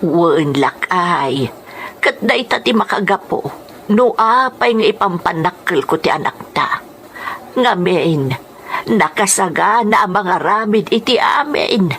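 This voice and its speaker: An actress playing a patient elderly grandmother in a radio drama, speaking in a measured, comforting way. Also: lowish voice, expressive, fairly bright tone, exaggerated voice. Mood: soothing. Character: patient, soothing elderly grandmother, devoted wife and mother. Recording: faint background noise.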